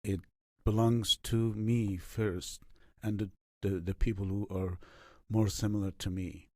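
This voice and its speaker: Deep-voiced hesitant Afghan man. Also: steady tone, no echo, studio quality. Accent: Afghan Persian. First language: Farsi. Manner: halting, many fillers and restarts. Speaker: interview guest, writer and academic. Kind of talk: reflective interview answers.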